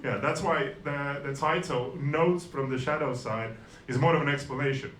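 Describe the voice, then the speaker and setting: A man delivers stand-up in a dry, self-deprecating way, often stumbling and restarting his sentences. His voice sits at an ordinary middle pitch. The room is echoey, with a faint hiss under him. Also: moderate pace, fairly bright tone. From Estonia. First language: Russian and Estonian. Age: 40s. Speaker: stand-up comedian. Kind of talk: stand-up comedy set.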